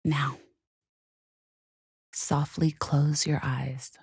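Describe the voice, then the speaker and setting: A woman leading a guided meditation in a calm, gentle, soothing and measured way. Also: sits low, gravelly, slightly rough, no echo, clean studio sound.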